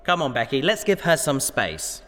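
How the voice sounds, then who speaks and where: A man's voice: medium pitch, very animated, moderate pace. A man playing a patient, earnest and squeamish scientist who speaks politely and precisely in a sci-fi audio drama. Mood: gentle, earnest. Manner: polite, precise, gently admonishing. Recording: long hall-like reverb.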